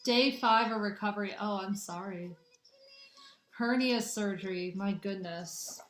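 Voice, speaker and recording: A woman's voice: mid-range voice, unhurried, soft background music. A young woman from the American Midwest hosting a casual livestream, chatting with viewers in a rambling way with lots of restarts. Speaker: livestream host doing spiritual readings. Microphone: phone or webcam. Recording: echoey room.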